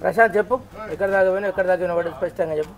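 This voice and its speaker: A man's voice: high voice, fairly even intonation, very fast, music in the background. A man chatting casually as he leads a group, directing and questioning the others and mixing in English words. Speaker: vlogger leading a group test of walkie-talkies.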